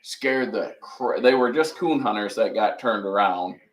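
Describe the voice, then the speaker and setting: Man, loud voice, livestream host, casual chat, chatty storyteller, teasing. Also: fairly low pitch, measured pace, low-quality recording. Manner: chatty, teasing, laughs often.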